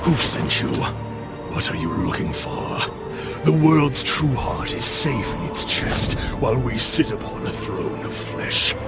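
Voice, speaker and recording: A cursed, half-mad warlord for a game cutscene. He speaks slowly and grandly in a gravelly voice that swings between brooding doom and defiance, with music behind him. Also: mid-range voice, very animated. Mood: cryptic challenge. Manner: grandiose, raving, theatrical. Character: deranged cursed warlord ranting as many voices, doomed and defiant. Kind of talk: dramatic video game cutscene acting.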